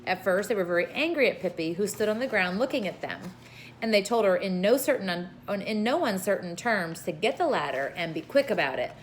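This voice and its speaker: A woman's voice: mid-range voice, animated, a little fast, a little hiss or hum, rough recording. A woman reading a children's story aloud as an informal storyteller. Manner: informal, with brief asides to listeners.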